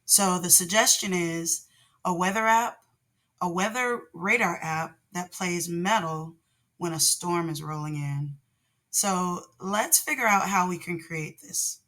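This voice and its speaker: Hoarse, deep-voiced woman vlogger. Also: measured pace, bright, forceful tone. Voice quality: naturally deep voice. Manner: rambling, with many ums and restarts. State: hoarse from laryngitis. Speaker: channel host, product designer. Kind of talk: casual tutorial vlog.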